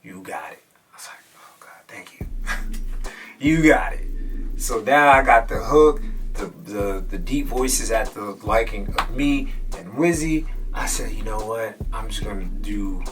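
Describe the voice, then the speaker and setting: A man's voice: lowish voice, lively intonation, a little slow, fairly bright tone, recorded from a distance. Casual young man telling a studio story over music. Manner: loose and profane, acts out his own past remarks, drops to a whisper at times. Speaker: rapper explaining how he made a track. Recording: voice from off-mic with music playing behind.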